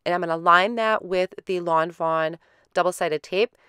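A woman hosting a card-making tutorial in a relaxed, step-by-step voice-over, a little stuffy from a head cold. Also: fairly low pitch, moderate pace, clear, resonant tone, no echo, clean studio sound. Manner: relaxed, step-by-step explaining with fillers.

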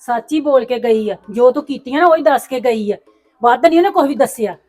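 A woman's voice: sits high, animated, a little fast, darkish tone, soft background music. An actress playing a worried middle-aged mother who lectures her daughter in a family drama, speaking in a reproachful way.

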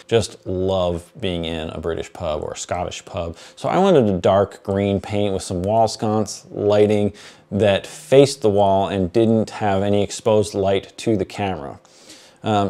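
A man in his thirties with a fairly low, dark and relaxed voice. He explains things to camera like a casual vlogger, conversational and matter-of-fact, recorded indoors on a clip-on mic. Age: 30s. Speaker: YouTube creator, host. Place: small furnished home basement studio, indoors. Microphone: clip-on lapel mic.

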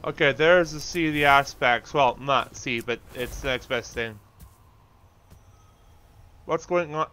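A man's voice: medium pitch, takes it slowly, pauses often, bright, forceful tone, smooth. A man giving casual gameplay commentary, talking to the characters on screen and swearing mildly. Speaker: gaming video host.

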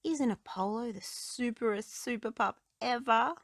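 A woman's voice: on the high side, measured pace, no room sound, studio quality, voice pushed up. A woman voicing an eager young male puppy playing superhero, speaking in an earnest, emphatic way. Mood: awed.

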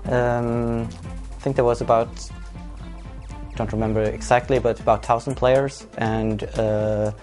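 A man with a Swedish accent, a poker player answering interview questions in a measured, pausing way. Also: mid-range voice, a little mellow. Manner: measured, pausing to recall details. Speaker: tournament player.

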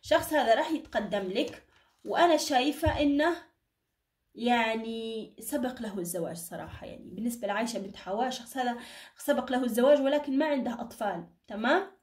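A woman speaks at a brisk clip in an echoey room, a little away from the microphone. She reads cards informally and reassuringly, with endearments and blessings, her voice somewhat tired. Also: a little high, a little mellow, recorded from a distance. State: tired, strained voice. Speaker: card reader, channel host. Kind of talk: informal fortune-telling reading to camera. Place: indoor room at a table.

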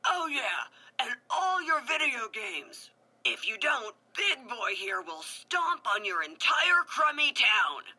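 Brackus, a woman doing a shouting villain tiki boss. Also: fairly high pitch, very animated, takes it slowly, breathy edge, pitched above the performer's own voice, exaggerated voice. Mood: bossy. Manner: shouting demands.

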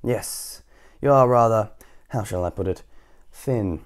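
A man's voice: on the low side, measured pace, smooth. Man acting out a wheezy, hearty plump doctor. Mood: hesitant.

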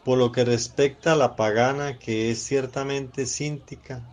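A man playing a grave, deferential elder of the Sanhedrin in a religious radio drama, speaking in a measured, deliberate way. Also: medium pitch, steady tone, darkish tone, lightly acted. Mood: grave, concerned.

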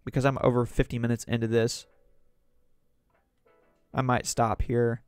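A man with a sore, hoarse throat hosting a solo podcast, reading news articles aloud and rambling on about politics. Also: medium pitch, level tone, a little slow, darkish tone, no room sound, faint music behind. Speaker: podcast host.

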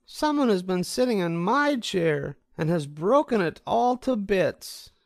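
A teeny whiny baby bear, squeaky high, storybook voice. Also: male voice, expressive, measured pace, creaky, no echo, studio quality, exaggerated voice. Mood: upset, plaintive. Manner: plaintive, squeaky. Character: wee little tearful baby bear cub.

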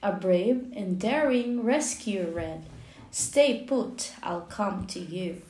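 A woman reading a picture book aloud for young children in a measured, expressive way with deliberate pauses. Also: medium pitch, reverberant, slight background noise, rough recording. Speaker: children's book reader, channel host.

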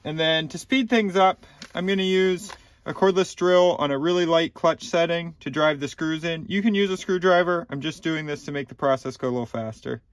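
A man's voice: fairly high pitch, brisk. Methodical man explaining a car repair. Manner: plain, methodical instructions with frequent ums. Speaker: DIY repair channel host. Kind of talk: step-by-step repair tutorial. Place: inside a car, small enclosed cabin.

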